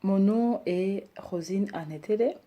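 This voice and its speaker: A woman in her thirties, from Rwanda and raised in Belgium, introducing herself and her decor business, hesitant with many pauses. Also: medium pitch, mellow. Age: 30s. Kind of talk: personal introduction to camera.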